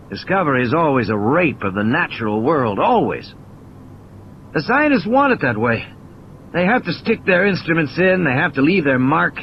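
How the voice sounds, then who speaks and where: A man's voice: on the high side, highs cut off, slight background noise. A man playing an injured, cynical and irritable scientist in an audio play, ranting emphatically in philosophical, insistent repetitions.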